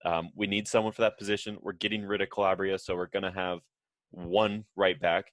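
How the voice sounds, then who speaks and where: Very deep-voiced man, dry close sound. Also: flat delivery, brisk, no room sound. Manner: blunt, joking one-liners. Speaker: podcast co-host. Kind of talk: casual football podcast chat.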